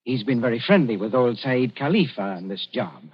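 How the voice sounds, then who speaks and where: An actor playing a cool young colonial official, speaking in a dry, unhurried way in a 1940s radio drama. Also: male voice, mid-range voice, expressive, breathy edge. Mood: calm. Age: young adult. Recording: dull 1940s radio broadcast.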